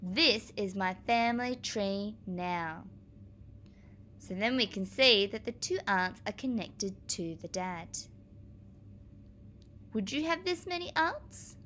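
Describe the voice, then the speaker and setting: A woman teacher reading aloud to young children, explaining as she goes in a clear, expressive manner. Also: on the high side, slow, long pauses, slight background noise.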